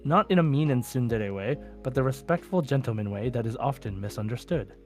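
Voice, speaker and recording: A man with a mid-pitched voice co-hosts a casual podcast. He chats informally and reads listener messages aloud, with music faintly audible behind him. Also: lively intonation, brisk, darkish tone. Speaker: podcast co-host.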